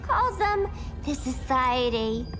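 A madcap game antiheroine with a high, swooping voice. She is playful, slangy and cartoonish, drawing her words out over a music score. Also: sing-song, slow, bright, forceful tone, exaggerated voice. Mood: zany, playful. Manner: sing-song, playful, cartoonish. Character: zany, bubbly, slangy madcap female antihero with a doctorate. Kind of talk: cinematic video game acting.

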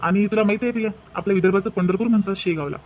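A high-pitched, devout young cartoon husband acted by a man. He talks earnestly and reverently in a Vidarbha Marathi accent. The recording is dull with faint noise. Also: rapid-fire.

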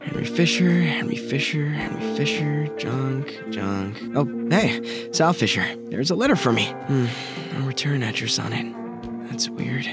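A man voicing a soft-spoken, wistful teenage boy in a mystery game fan dub. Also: mid-range voice, animated, unhurried. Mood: mildly puzzled. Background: guitar music.

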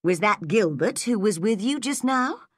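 A woman voicing a worried, gentle elderly woman, soft and sorrowful. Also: medium pitch, animated, measured pace, no echo, studio quality, pitched above the performer's own voice.

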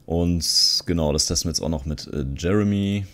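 A man's voice: sits low, moderate pace, fairly bright tone. A man giving a casual, tutorial-style commentary as a video host. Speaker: video host, content creator.

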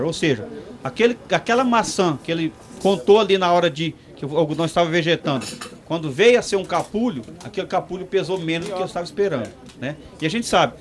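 A man in his thirties, a company representative, speaking informally into a handheld mic under an outdoor tent, explaining and checking in with his listeners. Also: high-pitched. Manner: fast, explanatory, many tag questions. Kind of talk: informal talk to farmers.

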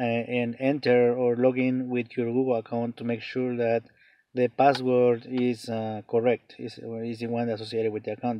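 A man explaining a phone reset step by step off camera, halting with many fillers, in non-native English phrasing. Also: mid-range voice, no room sound, dull audio. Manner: hesitant, many fillers and restarts. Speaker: tech tutorial channel host. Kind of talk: off-camera phone tutorial voice-over.

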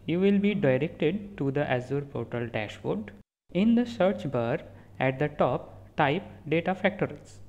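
A speaker giving a step-by-step software walkthrough as a voice-over, calmly talking through each click and sometimes correcting a word mid-sentence. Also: medium pitch. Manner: steady step-by-step instructions with small self-corrections. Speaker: tutorial instructor. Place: voice-over recorded apart from the screen capture.

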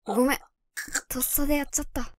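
An actress playing a calm, calculating young female werewolf in a voice drama, speaking matter-of-factly. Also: high-pitched, fast, no room sound, clean studio sound, close to an everyday voice. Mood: coolly unbothered. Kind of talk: Japanese voice drama acting.

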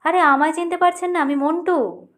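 A woman's voice: very high-pitched, fairly even intonation, normal speed, pitched above the performer's own voice. A woman voicing a playful man in a story who bluffs strangers with breezy, polite familiarity. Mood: teasing.

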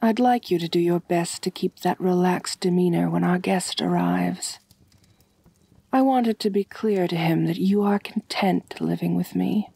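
A woman playing a refined, kind but possessive vampire mistress in a soft, intimate ASMR roleplay, gentle and doting, often near whispering. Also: lowish voice, a little slow, no room sound, exaggerated voice. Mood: doting, soothing. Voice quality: breathy.

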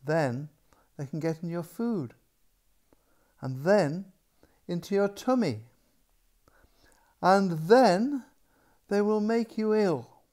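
A bossy grown-up maid from a picture book, acted by an elderly man. The delivery is slow and emphatic, each warning drawn out. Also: sits high, expressive, mellow.

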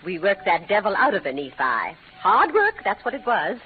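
A woman's voice: mid-range voice, sing-song, normal speed, soft background music, close to an everyday voice. Fussy, swooping frontier aunt played by a woman. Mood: insistent. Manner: fussy, prim, emphatic. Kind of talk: 1940s-50s radio western acting. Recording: muffled, noisy vintage radio recording.

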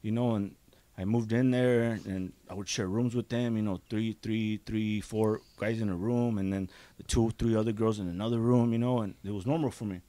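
A man's voice: lowish voice, steady tone, moderate pace, warm tone, no echo. A man in his thirties, a podcast guest raised in Mexico and California, telling his life story in a rambling way, close to a studio desk mic in a small studio. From raised in Juarez, Mexico and Azusa. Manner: rambling, repeats "you know". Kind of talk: casual storytelling.

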